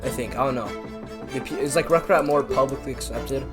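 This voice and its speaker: A young man from Canada talks casually as a YouTuber over a game, his voice sitting at an ordinary middle pitch for a man. He rambles and loads his speech with fillers, and he keeps making self-deprecating asides. Music plays clearly underneath him. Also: brisk. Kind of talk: casual chat over gameplay. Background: background music.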